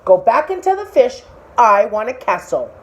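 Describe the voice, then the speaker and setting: A woman's voice: fairly high pitch, big pitch swings, normal speed, lo-fi sound. A woman voicing a greedy, never satisfied fisherman's wife in a storybook, giving insistent, imperious orders. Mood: demanding.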